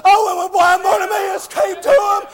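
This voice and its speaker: A man's voice: extremely high pitch, flat delivery, moderate pace, raised voice, a loud crowd, very noisy. A man preaching an impassioned revival sermon, crying out with frequent "oh" interjections.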